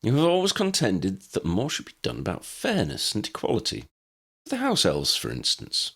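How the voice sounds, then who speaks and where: A man voicing a polite, wordy wizard gentleman in a storybook, formal, precise and deliberate. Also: lowish voice, huge pitch swings, husky, pitched above the performer's own voice, heavily acted. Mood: approving. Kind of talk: storybook character acting.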